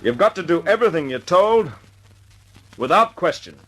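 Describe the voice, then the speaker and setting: An actor playing a brisk, commanding wartime air force squadron leader in an old-time radio drama, speaking in a clipped, authoritative way. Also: male voice, high voice, very animated, a bit bright, slightly rough, exaggerated voice. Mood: firm, commanding. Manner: clipped, authoritative, informal with his men. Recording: noisy old radio recording.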